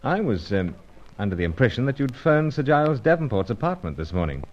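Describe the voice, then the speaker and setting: An actor playing a dashing, gallant British secret agent in an old-time radio serial, with jaunty upper-class slang and clipped phrases. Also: male voice, medium pitch, big pitch swings, brisk, darkish tone. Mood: suave, hesitant. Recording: dull, lo-fi radio serial recording.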